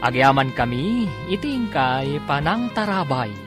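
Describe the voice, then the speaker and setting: A man's voice: on the high side, sing-song. A man narrating as a radio drama announcer, theatrical, drawing out the titles and names. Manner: theatrical, drawn-out title calls. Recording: music behind the voice.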